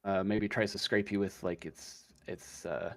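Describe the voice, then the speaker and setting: A man acting as game master, improvising a tabletop story in a casual, halting way as he searches for words. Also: fairly low pitch, fairly even intonation.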